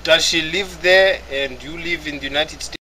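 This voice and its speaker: A man's high, bright voice cuts through a gaming voice chat. He probes the other players with blunt, mocking questions. His tone stays teasing and provocative. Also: moderate pace, slight background noise, poor audio. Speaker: guild member on voice chat.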